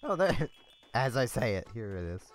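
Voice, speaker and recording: A man's voice: mid-range voice, sing-song, moderate pace, soft background music. Congested man chatting over gameplay. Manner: rambling, with many ums and small laughs. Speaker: let's play host. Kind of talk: casual gameplay commentary.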